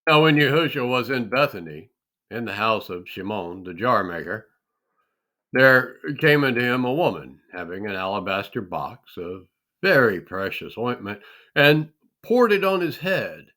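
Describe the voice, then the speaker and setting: A man giving a solemn, deliberate reading of scripture, with dramatic emphasis on the phrases. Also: fairly low pitch, big pitch swings, pushed and bright. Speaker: scripture reader.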